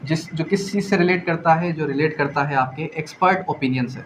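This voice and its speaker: A man's voice: on the high side, a little fast. A man with a Pakistani accent giving an explanatory law lecture in a didactic way, repeating phrases, asking rhetorical questions and mixing in English legal terms. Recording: dull lo-fi audio, faint background noise.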